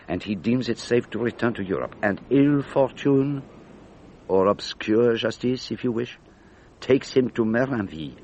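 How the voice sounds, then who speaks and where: An actor playing an elderly detective in a radio drama, speaking in a precise, deliberate, patiently instructive way. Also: male voice, mid-range voice, highs cut off, a little hiss or hum. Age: older. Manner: precise, deliberate, didactic questioning. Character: elderly, methodical, patient Belgian male detective.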